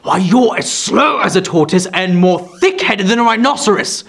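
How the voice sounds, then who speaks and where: A man's voice: high voice, expressive, slow pace, gravelly, crowd noise, full character voice. A man doing a sneering, spiteful dwarf. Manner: sneering, hissing, contemptuous. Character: spiteful scheming male dwarf, contemptuous.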